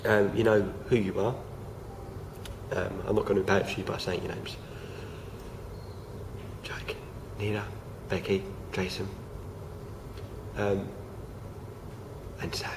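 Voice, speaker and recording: Croaky, hesitant young man. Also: lowish voice, little pitch movement, takes it slowly, pauses often. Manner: hesitant, many fillers and restarts. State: croaky from feeling unwell. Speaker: vlogger. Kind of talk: casual talk to camera. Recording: noisy home recording.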